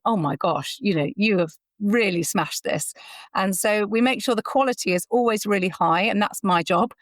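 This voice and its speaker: A woman's voice: lowish voice, dry recording. Woman interview guest, close dry sound. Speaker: interview guest, founder of an audio training platform. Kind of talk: conversational interview.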